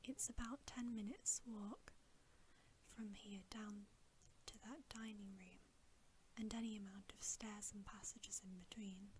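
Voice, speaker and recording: A woman reading a bedtime story in ASMR style, in a hushed, gentle, unhurried whisper. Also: medium pitch, steady tone, slight background noise, rough recording. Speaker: ASMR reader.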